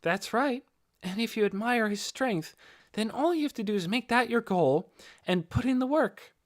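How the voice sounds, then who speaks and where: A man voicing a bright, encouraging youthful spellcaster in an audiobook, articulate and gently admonishing. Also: very high-pitched, animated, moderate pace, voice pushed up.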